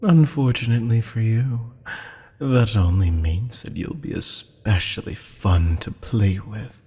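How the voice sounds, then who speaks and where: A man's voice: on the low side, mellow, breathy edge, exaggerated voice. A man playing a teasing, flirtatious, menacing demon captor in an ASMR roleplay, purring pet names and slipping into half-whispers. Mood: coaxing, teasing. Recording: long hall-like reverb.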